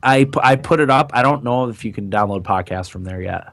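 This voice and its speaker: A man with a raspy, hoarse voice that is recovering, chatting casually and a bit haltingly as a podcast host. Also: medium pitch, a bit bright. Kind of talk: casual chat.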